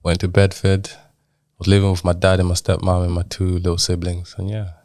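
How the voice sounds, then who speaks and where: A young man with a deep voice, recorded close and dry, tells his life story in an interview. He is from Zimbabwe and talks in colloquial British street slang, peppered with little check-in phrases. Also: completely flat delivery, a little fast, a little mellow, dry recording. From Zimbabwe, moved to England in childhood. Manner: colloquial British street slang, frequent "you get me" tags. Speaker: podcast guest. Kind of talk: casual personal storytelling in an interview.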